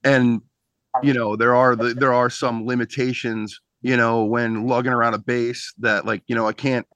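A middle-aged man from Pennsylvania, a band's singer and bassist, chatting on a video call in a rambling way with many restarts. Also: level tone, moderate pace, a bit bright, no room sound. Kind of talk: casual interview chat.

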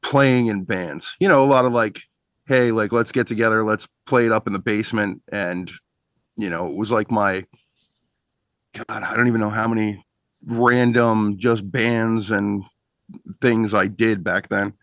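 Middle-aged rocker, low and dry-miked, talks in loose restarting ramble. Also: male voice, steady tone, unhurried, a bit bright, dry recording. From Pennsylvania. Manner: rambling, many restarts and fillers. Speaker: band singer and bassist. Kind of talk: casual interview chat.

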